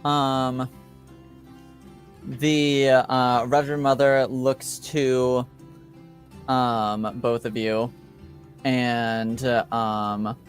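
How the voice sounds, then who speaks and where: A man acting as game master, casually narrating and explaining rules in a halting, deliberating way. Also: medium pitch, flat delivery, soft background music.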